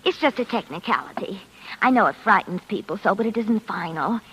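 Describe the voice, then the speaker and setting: A woman's voice: medium pitch, lively intonation, brisk, fairly bright tone, slightly rough, exaggerated voice. An actress playing a chatty, soothing law-office woman in a 1940s radio drama, coaxing and folksy in manner. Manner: coaxing, folksy, calls people honey. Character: chatty, soothing female law-office worker, pushily reassuring. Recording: noisy old 1940s radio broadcast.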